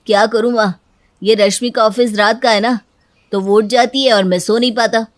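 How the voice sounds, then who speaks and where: A woman's voice: a little high, fairly even intonation, moderate pace, no echo, close to an everyday voice. A woman voicing a young husband with an emphatic, blunt manner in a cartoon story. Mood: stern, annoyed. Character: young husband, supportive but easily irritated.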